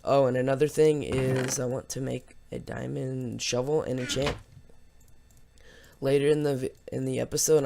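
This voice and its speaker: A man hosts a gaming video in a lower-pitched-than-nothing, ordinary mid-range voice with plenty of creak. He is slightly hoarse and talks in a casual, unscripted ramble. Faint noise sits behind him in a home recording. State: slightly hoarse after losing voice from yelling. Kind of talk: casual let's play commentary.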